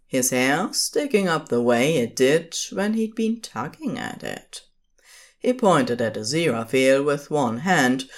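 Female narrator, scripted storytelling, very low pitch, expressive intonation.

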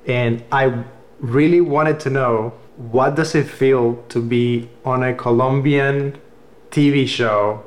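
A man's voice: medium pitch, fairly even intonation, slow. A man from Cuba hosting a casual interview, asking questions and telling stories with enthusiasm. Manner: enthusiastic, storytelling. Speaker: host.

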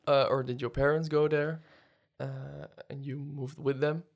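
A man's voice: mid-range voice, flat delivery, unhurried, creaky. Hesitant male podcast host. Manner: hesitant questions with restarts and light laughter. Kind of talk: interviewing, casual chat.

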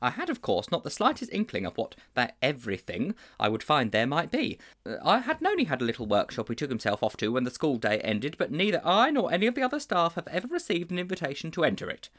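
A man's voice: on the high side, quick pace, voice pushed up. A man voicing an earnest, anxious older schoolteacher who speaks formally and a little fussily. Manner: formal, slightly fussy, animated.

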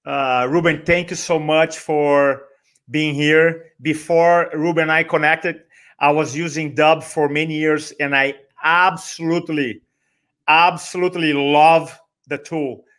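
Energetic Brazilian man, slightly high voice. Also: a little slow. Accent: Brazilian Portuguese. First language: Portuguese. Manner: energetic, repeats words, acts out quoted dialogue. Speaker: guest, speaker and trainer. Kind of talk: podcast interview.

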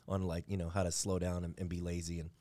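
A man's voice: low voice, flat delivery, fast, warm tone, no echo. A man hosting a podcast, talking in a laid-back, reflective way. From Georgia, US. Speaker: podcast host. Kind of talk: casual podcast conversation.

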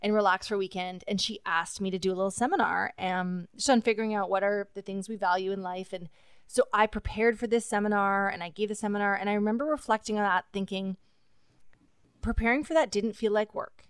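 A woman's voice: medium pitch, a little fast, clear, resonant tone, no echo, clean studio sound. A woman hosting a podcast, talking in a reflective, earnest way with fillers. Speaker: podcast host. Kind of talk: casual conversation.

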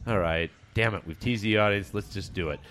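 A man's voice: on the low side, a little fast, fairly bright tone. A man hosting a podcast in casual chat, teasing his guest and joking. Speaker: podcast host.

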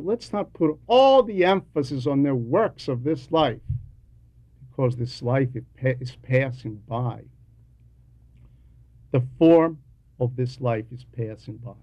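Slow-paced man preaching a homily. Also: mid-range voice, a little mellow, dry recording. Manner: deliberate, emphatic, repeats key phrases for weight. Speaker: homilist reading a translated homily. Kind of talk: sermon, teaching homily.

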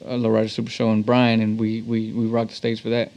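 A man's voice: on the low side, completely flat delivery, fast talker, gravelly, darkish tone, dull audio. A man from Texas, a musician, telling stories in a casual interview in laid-back, slangy street talk. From Texas, United States. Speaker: musician, talk box artist being interviewed. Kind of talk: casual interview, anecdotal storytelling.

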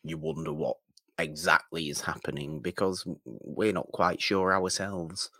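A man co-hosting a film podcast, chatting casually in a halting, rambling way with emphatic repetition. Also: low voice, gravelly, bright, forceful tone, no echo, clean studio sound.

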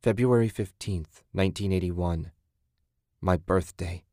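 A man voicing a shaken, nervous man in a horror audiobook, speaking haltingly and uneasily. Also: deep voice, mellow, no room sound, clean studio sound. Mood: stunned. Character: shaken, nervous man puzzling over eerie numbers.

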